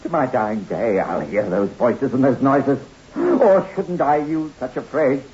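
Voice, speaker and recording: A man's voice: mid-range voice, lively intonation, a little slow, a little mellow, husky. A man playing a haunted, distraught castaway recounting his ordeal in an old radio horror play, intense and theatrical. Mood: bitter, shaken. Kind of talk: 1960s radio horror acting and narration. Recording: muffled old radio with faint noise.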